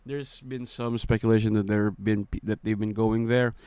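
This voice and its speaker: Low-voiced man, slangy casual reactions. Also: fairly even intonation, moderate pace, mellow, clear, resonant tone, no room sound. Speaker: reaction video host. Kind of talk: casual off-the-cuff reaction commentary.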